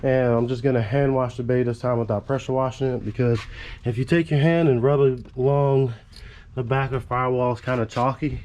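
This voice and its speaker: A man's voice: mid-range voice, warm tone, a little hiss or hum. A man with a head cold, stuffy and congested, casually rambling through a car build in a garage. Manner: laid-back, rambling, casual swearing.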